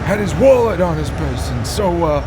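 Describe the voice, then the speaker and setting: A man playing a plain-spoken, hesitant police officer with a halting, folksy delivery in a comedy audio drama. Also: on the high side, very animated. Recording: noisy outdoor-sounding background.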